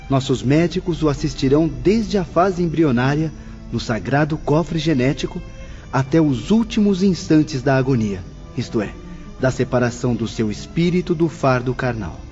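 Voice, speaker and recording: Male actor as steadfast spirit counsellor, mellow tone, mid pitch, formal, earnest, devout, audio drama. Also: dull audio, lightly acted.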